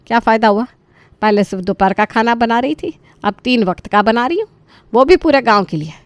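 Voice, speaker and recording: A woman voicing an exasperated older mother who complains loudly and emphatically in a dramatic story. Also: on the high side, lively intonation, brisk, dry recording, natural voice. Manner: loud, emphatic, grumbling.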